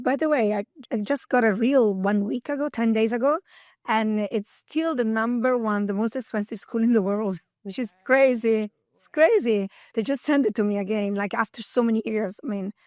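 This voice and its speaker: A woman's voice: fairly high pitch, moderate pace, darkish tone, no echo, clean studio sound. A woman around fifty with an Italian accent, a guest in an interview, talking energetically and chattily and laughing often. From Italy. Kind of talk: interview conversation.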